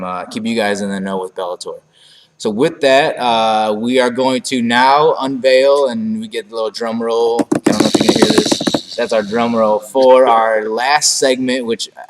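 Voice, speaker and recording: A man's voice: lowish voice, big-room sound. A man co-hosting a casual fight-sports talk show, rambling and then ranting with emphasis. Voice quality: monotone at times. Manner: rambling, rising to emphatic rants. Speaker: podcast co-host.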